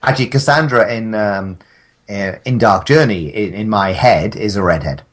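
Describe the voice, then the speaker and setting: Husky, low-voiced man telling comic stories. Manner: halting, mock-formal wordy phrasing. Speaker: podcast guest, audio drama creator. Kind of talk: comic casual chat, rambling storytelling.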